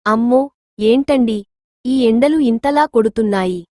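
Woman playing a worried farmer's wife, higher voice. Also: measured pace, mellow, dry recording, clean studio sound, natural voice. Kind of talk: scripted moral story dialogue.

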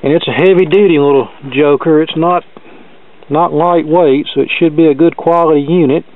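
A thin, phone-like recording carries a man with a fairly high voice. He talks in a plain, folksy way, casually walking through a hands-on demonstration of a gadget. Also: fairly even intonation, moderate pace. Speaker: host demonstrating vintage electronics. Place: cluttered indoor workbench.

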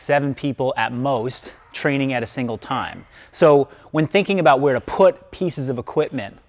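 Upbeat man leading a gym tour. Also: mid-range voice. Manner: enthusiastic, explanatory. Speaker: fitness coach giving a gym tour. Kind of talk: upbeat presenter walkthrough. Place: large indoor gym. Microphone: lapel mic.

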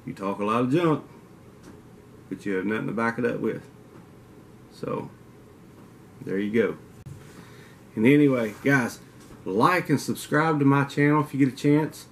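A man's voice: medium pitch, measured pace, pauses often, a little hiss or hum. A man in his forties with a Kentucky southern drawl, rambling casually to a desk webcam in a den and trading teasing trash talk. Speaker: outdoors channel host. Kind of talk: casual vlog talk to camera. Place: indoor den with mounted antlers.

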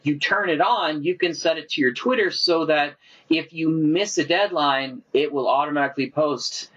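A man's voice: fairly high pitch, normal speed, sharp tone, dull audio. A man hosting a podcast in casual conversation, talking with enthusiasm. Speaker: podcast host. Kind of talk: casual chat.

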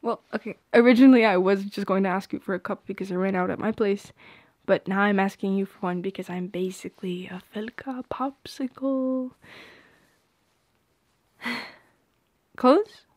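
A woman's voice: medium pitch, darkish tone, no echo, close to an everyday voice. A young woman playing a shy, teasing friend with a secret crush in an intimate ASMR roleplay, playful and a little hesitant.

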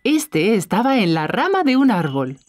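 A woman's voice: a little high, big pitch swings, moderate pace, darkish tone. A woman narrating a scripted children's cartoon story for young listeners.

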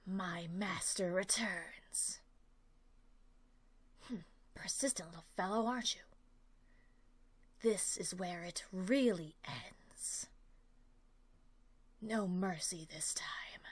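A woman voicing a sinister, merciless female dragon villain in a breathy, menacing whisper. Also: lowish voice, slow pace, pauses often, dry recording.

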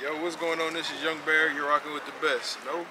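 A man's voice: fairly high pitch, flat delivery, fairly quick. A young man hosting a casual street interview at a night party, talking in hyped, playful slang. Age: young adult. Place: outdoor parking lot and loud house party at night. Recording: loud noisy party background.

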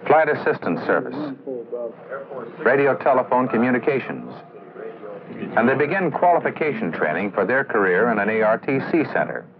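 A man's voice: mid-range voice, sing-song, normal speed, a crowd in the background. A man playing a seasoned air traffic controller, explaining his job matter-of-factly in a 1960s educational film. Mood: assured, explanatory. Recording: muffled old film soundtrack, faint hiss.

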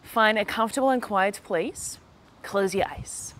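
A woman leading a guided meditation, giving slow, gentle instructions with long pauses between phrases. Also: mid-range voice, slight background noise.

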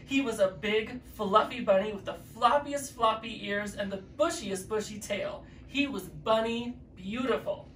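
Drag queen reading a picture book aloud, expressive, mid-pitched, recorded from a distance in a roomy space. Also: measured pace, hardly any pauses. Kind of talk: children's story reading. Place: living room with fireplace, indoors. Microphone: distant camera mic. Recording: echoey room, distant mic, faint noise.

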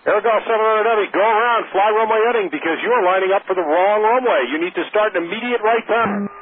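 Guy doing air traffic control over radio, talks really fast, clipped procedure talk, thin tinny sound. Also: sits high. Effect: radio transmission. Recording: narrowband radio audio with faint static.

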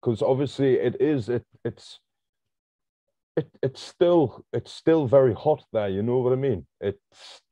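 A man, a podcast host asking questions in a halting way, restarting his sentences. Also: mid-range voice, lively intonation, dark tone, no room sound. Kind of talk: interview questions. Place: home studio room.

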